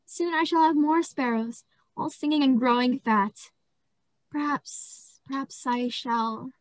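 A woman's voice: high-pitched, slow pace, no echo. A woman acting a deranged male asylum patient in a radio play, pleading and gleeful, repeating words obsessively. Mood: creepy gentle glee.

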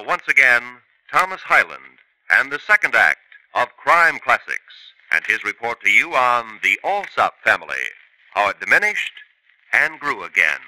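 A man hosting and narrating a 1950s radio crime drama in a measured, dramatic way. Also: mid-range voice, pushed and bright, no echo, low-quality recording. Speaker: narrator and host. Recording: old radio broadcast with music.

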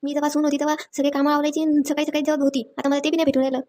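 A woman's voice: high voice, level tone, rapid-fire, a little mellow, close to an everyday voice. A woman voicing a nagging, self-important older mother-in-law in a cartoon comedy, complaining and scolding. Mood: grumbling. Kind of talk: cartoon comedy skit voice acting.

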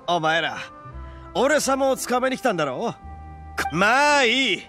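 A young male oni gang boss from an anime game. He blusters and boasts in rough street talk, his pitch leaping about in a very lively way. Soft music plays behind him. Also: measured pace, full character voice. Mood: cocky, indignant.

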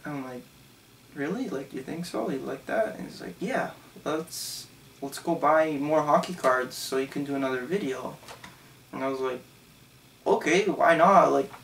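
A man's voice: a little high, animated, a little slow, gravelly, a bit bright, distant mic, slight background noise. A young man in his twenties, from Canada, telling a story for his channel in a loose, rambling way, full of "um" and "like". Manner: rambling, lots of "um" and "like". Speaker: channel host. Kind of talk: casual storytelling vlog. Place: small bedroom, indoors.

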